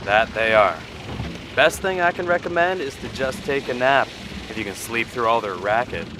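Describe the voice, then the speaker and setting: Actor as a folksy military pilot amid loud din. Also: male voice, medium pitch, lively intonation, normal speed, lightly acted. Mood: calm, reassuring. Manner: folksy, courteous, plain-spoken. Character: folksy, courteous, seasoned male military chopper pilot. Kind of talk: audio drama acting. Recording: loud engine and battlefield noise effects.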